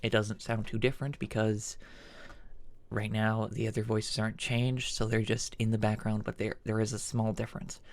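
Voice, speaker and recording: A man hosting an informal software tutorial, thinking aloud haltingly as he demonstrates each step. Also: fairly low pitch, level tone. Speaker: tutorial host. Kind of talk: casual screen-recorded software tutorial.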